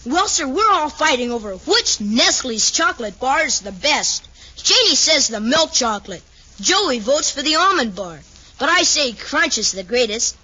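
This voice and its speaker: A woman's voice: high-pitched, sing-song, moderate pace, bright, forceful tone, slightly rough, exaggerated voice, rough recording. A woman playing an eager, persistent young American boy in a 1950s radio commercial.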